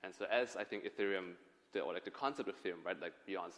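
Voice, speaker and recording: A man's voice: deep voice, quick pace, fairly bright tone. A man answering on a conference panel, rambling and hedging with lots of fillers. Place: conference stage in a large hall. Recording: long reverb of a large hall.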